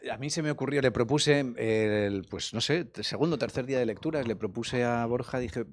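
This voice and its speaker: A man of middle pitch speaking in a calm, reflective way, building long answers in a press interview about a film. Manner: measured, reflective, explains at length. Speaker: actor.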